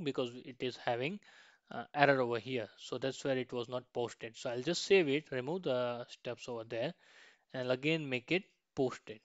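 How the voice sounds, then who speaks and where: A man teaches a software process step by step in an informal screen-share style. His voice is clear and smooth at a medium pitch, captured close and dry on a slightly dull, lo-fi microphone. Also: dry recording. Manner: frequently ends points with a questioning "right". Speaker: software tutorial instructor.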